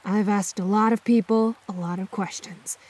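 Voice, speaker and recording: A woman playing a bitter, guarded werewolf on the run in a radio drama, blunt and confrontational in a husky voice. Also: medium pitch, fairly even intonation, moderate pace, a little mellow, close to an everyday voice.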